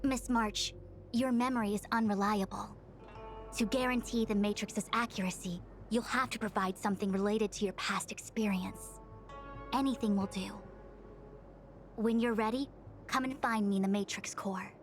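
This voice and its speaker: Woman playing a formal, measured diviner over music. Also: medium pitch, fairly even intonation, natural voice. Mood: composed, instructing. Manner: formal, measured, wordy lecturing. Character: composed, learned woman diviner, formal and self-assured master. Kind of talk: scripted video game character dialogue. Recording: music behind the voice. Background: background music.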